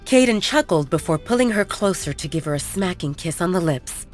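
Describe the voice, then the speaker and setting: A woman's voice: lowish voice, lively intonation, fairly quick, soft background music. A woman reading scripted fiction as an audiobook narrator.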